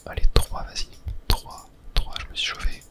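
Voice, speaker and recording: A man from whispers casual ASMR gaming commentary in a breathy, unvoiced voice, murmuring his thoughts aloud. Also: moderate pace. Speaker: ASMR gaming video host.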